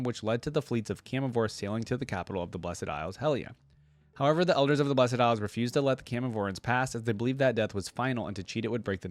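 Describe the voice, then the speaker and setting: A man's voice: medium pitch, no room sound. A man hosting a reaction channel, commenting casually and thinking aloud in an informal way, sometimes reading out text.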